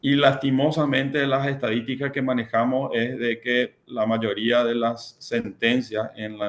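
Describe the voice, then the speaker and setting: A man presents as a panelist at a conference. He speaks at an average pitch. His delivery is halting, with frequent fillers and restarts. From Paraguay. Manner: hesitant, many fillers and repeated words.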